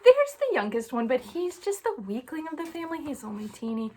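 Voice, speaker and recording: Woman as high-pitched, dismissive father Jesse. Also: very animated, brisk, dark tone. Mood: amused, dismissive. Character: Jesse, amused, dismissive father in a Bible storybook.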